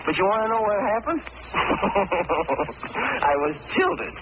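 A man's voice: high voice, big pitch swings, slow, full character voice. An actor playing a bitter, self-pitying, paranoid young man in 1940s radio drama style, both narrating and speaking in scenes. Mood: tipsy, sardonic. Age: young adult. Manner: wry, agitated, self-pitying. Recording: noisy, muffled old radio recording.

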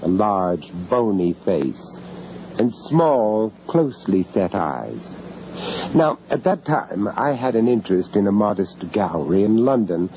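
A man acting an urbane, uneasy foreign art gallery owner who narrates a radio horror story in a reflective, hesitant manner. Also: lowish voice. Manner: hesitant, reflective, with chuckles in dialogue. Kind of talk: first-person radio drama narration. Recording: muffled, noisy old radio drama recording.

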